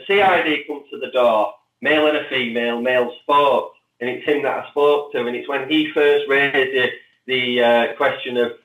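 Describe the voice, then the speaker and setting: A man giving a spontaneous account as an interview guest, halting and often repeating his words. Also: medium pitch, narrow, tinny audio. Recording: roomy echo, distant from mic.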